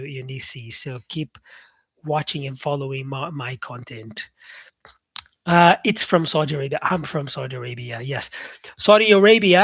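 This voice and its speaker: A man's voice: fairly high pitch, a bit bright, no echo. A man teaching English on a live stream, chatting casually with viewers and reading out their comments, with many fillers.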